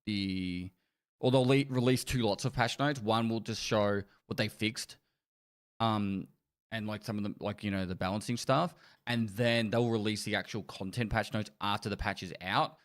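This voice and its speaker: A man's voice: lowish voice, fairly even intonation, normal speed. A man hosting a podcast, chatting in a jokey, exasperated way. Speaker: podcast host. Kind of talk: casual podcast chat.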